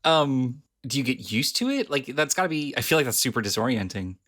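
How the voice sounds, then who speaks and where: A man's voice: mid-range voice, big pitch swings, brisk, fairly bright tone. A man co-hosting a podcast in casual chat, asking curious questions with lots of likes and ums. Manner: curious, questioning, many fillers. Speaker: podcast co-host.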